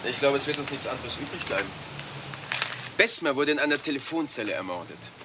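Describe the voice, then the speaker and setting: An actor playing a sober, inquisitive police officer in a German crime radio play. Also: male voice, medium pitch, expressive, brisk, background noise, natural voice. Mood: sober, warning.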